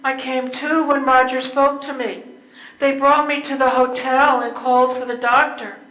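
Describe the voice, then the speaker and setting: An older woman playing a lonely, neglected wife in an amateur stage reading, plaintive and deliberate. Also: high voice, level tone, a bit bright. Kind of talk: amateur readers theater play. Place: indoor live stage reading. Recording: echoey room.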